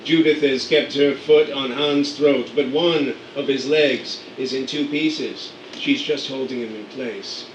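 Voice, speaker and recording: A man's voice: mid-range voice, fairly even intonation, a little slow, few pauses, distant mic. Man reading stage directions. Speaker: narrator. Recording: echoey room, off-mic, faint noise.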